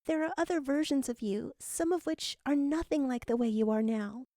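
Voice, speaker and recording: Woman as a high, gentle dream spirit. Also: moderate pace, dry recording, clean studio sound. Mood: tender. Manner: soft, tender. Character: gentle, caring female spirit guide from dreams.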